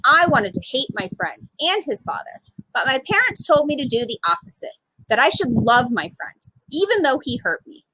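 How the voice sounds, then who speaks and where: Animated, high-pitched woman reading a children's story. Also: normal speed, husky, rough recording. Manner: animated, acts out dialogue. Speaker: storyteller reading a picture book aloud. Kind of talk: expressive children's storytime read-aloud.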